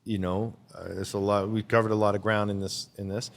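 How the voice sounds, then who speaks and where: Low-voiced man in a reverberant room. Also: little pitch movement, brisk, gravelly. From Canada. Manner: rambling, repeats words while searching for thoughts. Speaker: guest. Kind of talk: casual conversational interview.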